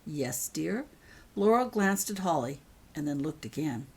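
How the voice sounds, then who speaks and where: Female narrator, low and husky, for author-read fiction audiobook. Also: animated, moderate pace. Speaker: author reading own fan fiction.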